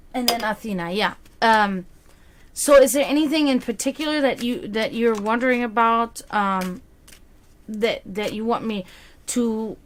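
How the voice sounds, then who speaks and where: A woman's voice: fairly high pitch, a little hiss or hum. Chatty woman, native German speaker. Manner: chatty, rambling, reads chat aloud. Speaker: psychic reader streamer. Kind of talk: casual livestream chat.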